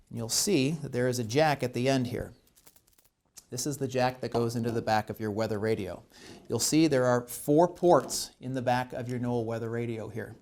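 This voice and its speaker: A man's voice: medium pitch, darkish tone. American man giving step-by-step instructions. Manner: clear, methodical explaining with emphasis on key points. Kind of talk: instructional tutorial narration.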